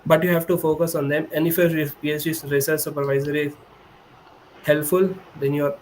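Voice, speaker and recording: A young man whose first language is Hindi speaks over a video call with a somewhat high voice. He explains things earnestly and often restarts his sentences, with a faint background hum. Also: little pitch movement, a little fast, low-quality recording. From India. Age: 20s. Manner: earnest, explanatory, frequent self-corrections. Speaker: guest, PhD student. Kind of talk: remote podcast interview. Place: indoor room with a high-backed chair.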